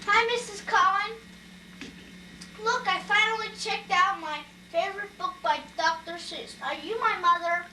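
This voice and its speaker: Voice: extremely high pitch, unhurried, heavily acted. A performer voicing an earnest, pleading, polite little boy in a puppet show. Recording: roomy, distant mic, loud background noise.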